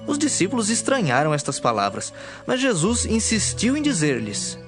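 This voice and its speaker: A man's voice: sits high, wildly swooping pitch, moderate pace. A man with a Brazilian accent narrating scripted, dramatized scripture. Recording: clear music bed behind the voice.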